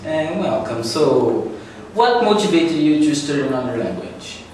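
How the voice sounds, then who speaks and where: A man's voice: on the high side, a little slow. A man asking simple interview questions with short acknowledgements. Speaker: student interviewer. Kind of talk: class project interview. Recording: roomy echo, distant mic, steady noise.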